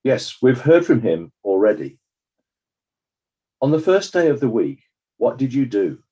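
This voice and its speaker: A man acting as a formal inquest examiner with a husky, mellow voice. His questioning is courteous and measured, with very lively intonation. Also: medium pitch. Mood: inquiring.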